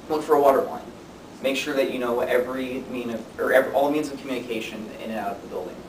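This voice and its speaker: A young man giving an informative student speech in a conversational way with deadpan humor. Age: young adult. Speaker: student speaker. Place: classroom. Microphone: distant camera microphone. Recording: distant mic, echoey room, noisy rumble.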